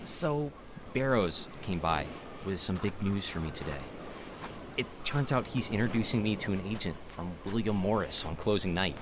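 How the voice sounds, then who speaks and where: Young theater guy played by a male actor, enthusiastic and expressive, lively swooping pitch, noisy ambient backdrop. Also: normal speed, close to an everyday voice. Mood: upbeat, eager. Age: young adult. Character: eager, talkative young city man in theater. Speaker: actor in an audio drama. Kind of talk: naturalistic audio drama dialogue.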